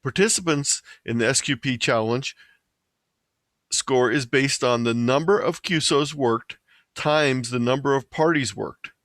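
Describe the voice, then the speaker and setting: A man's voice: mid-range voice, measured pace, a bit bright, dry recording. Man reading a presentation, very dry sound. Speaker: presenter of an amateur radio slideshow. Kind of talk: scripted presentation narration, read aloud.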